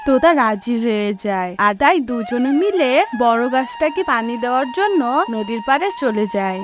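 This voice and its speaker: A woman's voice: fairly high pitch, very animated, moderate pace, over music. A woman narrating a scripted children's cartoon story.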